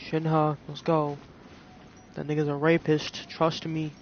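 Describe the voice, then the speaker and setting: A man's voice: fairly high pitch, completely flat delivery, slow. A man streaming a game with casual, slangy, profane joking commentary. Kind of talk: casual, crude gameplay commentary. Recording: muffled mic, game music behind.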